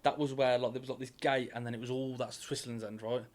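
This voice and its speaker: A young man from England, co-hosting a podcast in casual chat, fast, sweary and teasing. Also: mid-range voice, little pitch movement, darkish tone. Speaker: podcast co-host.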